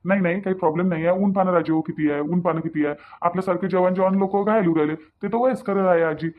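A man's voice: high voice, fast talker, phone-quality sound, close to an everyday voice. A man voicing a reassuring village doctor in a cartoon, folksy and repetitive, with a Varhadi Marathi accent. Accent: Varhadi, Vidarbha Marathi. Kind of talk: cartoon dialogue.

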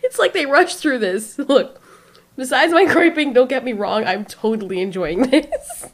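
A woman records casual reaction commentary in a fairly high voice. Her pitch swings around freely. She sounds sarcastic and exasperated as she picks things apart. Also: expressive, normal speed, slight background noise. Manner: sarcastic, exasperated, mildly profane. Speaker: reaction video host.

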